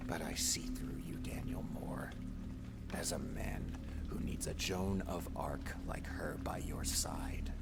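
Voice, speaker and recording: An actor playing a menacing, taunting, grandiose tormentor in an audio drama, swinging between whispers and shouts. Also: male voice, extremely low pitch, steady tone, takes it slowly, gravelly, over loud music, heavily acted. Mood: menacing, mocking. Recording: long hall reverb, faint noise.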